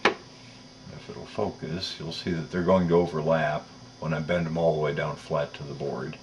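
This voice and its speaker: Male tutorial presenter, low register, methodical and detailed, talking while working, with slight background noise. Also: level tone. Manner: methodical, detailed, unhurried explaining. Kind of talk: step-by-step hands-on instruction, talking while working.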